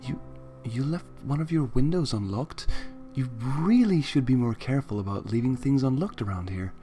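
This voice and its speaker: A man's voice: medium pitch, expressive, normal speed, mellow, faint music behind, close to an everyday voice. A man voicing a sweet, shy, naive young female pegasus pony in a story reading, timid and hesitant.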